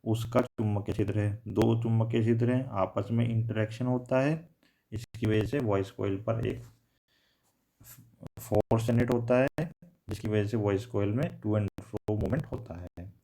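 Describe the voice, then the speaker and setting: A man's voice: lowish voice, normal speed, creaky, dark tone. A man teaching a technical lesson, repeating and rephrasing his points and mixing in English terms. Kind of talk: explanatory technical lecture.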